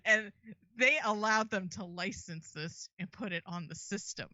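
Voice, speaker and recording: Woman guest, talkative, giggly, bright tone, lo-fi dry call audio. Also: medium pitch, a little slow, no echo. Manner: chatty, laughs often, many fillers. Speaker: interview guest, fighting game creator. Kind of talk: casual interview chat.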